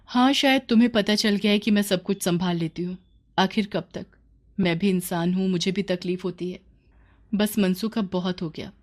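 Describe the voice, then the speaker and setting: A woman's voice: mid-range voice, moderate pace, lightly acted. A woman playing a hardworking, fed-up young village wife in a cartoon moral story, speaking in a reproachful, plaintive, emphatic way. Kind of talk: animated moral story dialogue.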